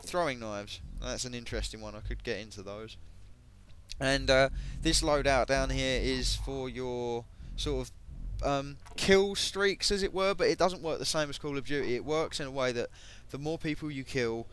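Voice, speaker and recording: A man talks through a game's gear, his voice a little croaky and his speech full of ums and restarts. He sits at a home computer mic, and a faint hum of room noise sits under him. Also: mid-range voice, a bit bright. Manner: hesitant, many ums and self-corrections. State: voice going croaky. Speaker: gaming video creator. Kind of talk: casual gameplay commentary.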